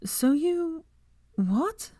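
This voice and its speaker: Woman acting young woman, high-pitched, bossy, exasperated, emphatic questions, storybook dialogue. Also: animated, drawn-out words, mellow, no room sound, clean studio sound, heavily acted. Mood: incredulous, teasing.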